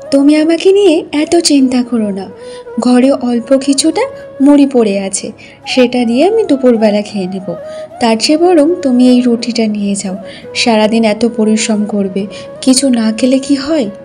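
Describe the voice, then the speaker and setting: A woman playing a caring, self-sacrificing village wife in a cartoon folk tale, gentle and concerned. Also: a little high, lively intonation, normal speed, soft background music, lightly acted.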